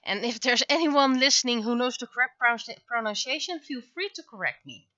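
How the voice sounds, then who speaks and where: Bright-voiced woman, dull dry recording. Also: fairly high pitch, expressive, no room sound. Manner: halting, thinking aloud. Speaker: livestreaming webcomic artist. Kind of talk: casual livestream chat while drawing.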